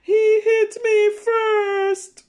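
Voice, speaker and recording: A woman voicing an excitable young big brother from a picture book, exclaiming loudly in drawn-out phrases. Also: very high-pitched, voice pushed up, full character voice. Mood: indignant.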